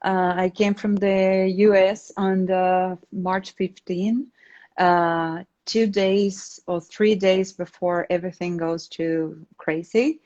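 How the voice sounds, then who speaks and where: An Argentine woman talks casually about her own work as an interview guest. She speaks slowly and haltingly, feeling for words in English, which is not her first language. The sound is slightly dull, like an online call. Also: on the low side, fairly even intonation, a little mellow. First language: Spanish.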